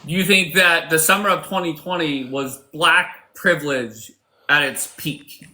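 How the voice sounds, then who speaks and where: Male live-stream host, blunt and profane, very bright tone, slightly high pitch. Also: measured pace. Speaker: livestream host. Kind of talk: casual live-stream debate chat.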